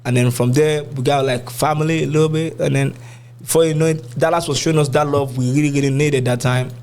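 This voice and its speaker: A man's voice: fairly high pitch, fairly even intonation, fairly quick, a little mellow. A young man with a Nigerian accent talking casually and colloquially as a musician in a podcast interview. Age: young adult. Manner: colloquial, uses "you know what I'm saying".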